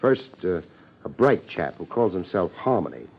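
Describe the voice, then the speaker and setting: A breezy, wisecracking city private eye in a vintage radio drama. His low voice moves up and down freely as he banters, wry and unhurried in temper. The sound is muffled, like an old broadcast. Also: very animated, slightly rough, heavily acted. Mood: businesslike. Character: laid-back wisecracking male private detective from the city. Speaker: radio actor playing the lead. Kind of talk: 1950s radio detective drama acting.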